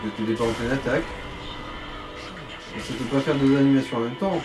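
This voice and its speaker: A man's voice: medium pitch, fairly quick, over music, rough recording. A man chatting casually as co-commentator, giving advice to someone playing a game. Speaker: co-commentator advising the player. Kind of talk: casual gaming chat.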